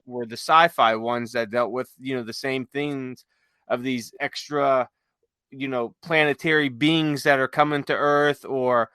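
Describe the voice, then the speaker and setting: A man with a bright, crisp-edged voice at a middle pitch, chatting as a podcast host. He rambles conversationally and haltingly as he recounts things. The sound is dry, with no room around him. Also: fairly even intonation, dry recording. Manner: halting, conversational, retells stories with quoted dialogue. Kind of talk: casual chat, rambling storytelling.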